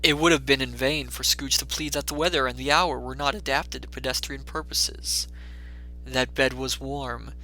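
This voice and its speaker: A man's voice: mid-range voice, sharp tone, low-quality recording. A man narrating a classic story in a dramatic audiobook style.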